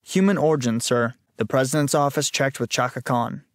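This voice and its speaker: A man voicing a dutiful, literal-minded junior agent in a deadpan, deferential manner. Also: medium pitch, fairly quick, dry recording, clean studio sound, voice pushed up, natural voice.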